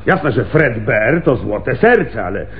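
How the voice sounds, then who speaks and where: An actor playing a seasoned, wry and commanding police lieutenant in a Polish radio play. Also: male voice, mid-range voice, very animated, slow pace, husky, phone-quality sound, heavily acted. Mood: ironic, animated.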